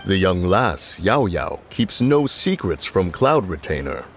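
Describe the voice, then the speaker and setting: An actor playing a stern, proud ancient spirit in human form in a video game, with pompous, archaic phrasing. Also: male voice, low voice, sing-song, a little slow, a little hiss or hum. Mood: matter-of-fact. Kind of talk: English video game dub acting. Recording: voice over background music.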